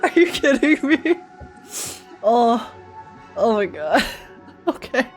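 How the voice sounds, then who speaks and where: A woman streamer giving casual live game reactions in an excitable, giggly, emotional manner. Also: fairly high pitch, slow pace, soft background music.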